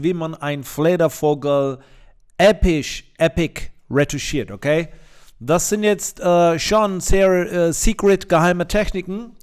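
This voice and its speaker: A man's voice: on the high side, clean tone, lightly acted. A man playing an overconfident photo retoucher who explains in broken German mixed with English. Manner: boastful, mock-serious explaining. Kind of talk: parody tutorial.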